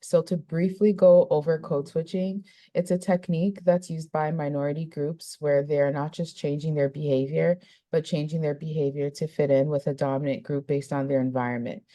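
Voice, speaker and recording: A young woman hosting a podcast interview, friendly, reading study summaries in a measured way before asking questions. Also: low voice, little pitch movement, mellow. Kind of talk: podcast interview, partly read from a script.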